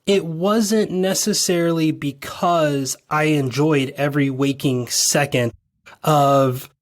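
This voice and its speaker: Raspy, tired podcast host. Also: mid-range voice, slow pace. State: tired from lack of sleep. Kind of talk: conversational interview.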